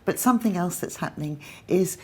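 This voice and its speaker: A woman's voice: on the low side, lively intonation, a little fast, breathy edge. A woman co-hosting a live stream, chatty and upbeat as she passes on viewer comments. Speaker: co-host. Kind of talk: live-stream chat with guests.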